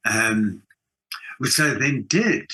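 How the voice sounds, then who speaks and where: A South African man with a bright, forward voice at an ordinary male pitch. He talks as an interview guest sharing his own story in a conversational way, stressing points by repeating words. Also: expressive, drawn-out words, poor audio. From South Africa, Johannesburg. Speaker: interview guest, actor and theatre designer. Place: video call from home country, indoors. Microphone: video call.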